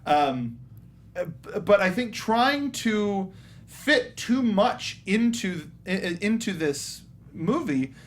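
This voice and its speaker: A man co-hosting a casual film review panel, talking in a halting, stop-start way. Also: high voice, big pitch swings, slight background noise. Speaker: co-host of a film review show.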